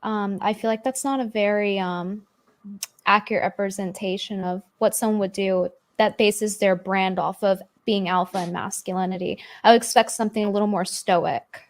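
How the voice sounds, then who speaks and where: A woman speaking in a hesitant, measured way in a casual panel chat. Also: mid-range voice, fairly even intonation.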